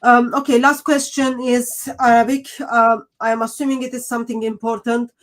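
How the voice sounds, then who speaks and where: A woman moderating a live debate Q&A, reading out questions in a measured, polite way. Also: fairly high pitch, little pitch movement.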